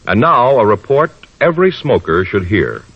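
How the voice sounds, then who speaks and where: A man's voice: medium pitch, big pitch swings, slightly rough. A male announcer with an American accent reads a formal, scripted 1950s radio advertisement. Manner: formal, measured phrasing. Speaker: commercial announcer. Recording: dull old radio recording.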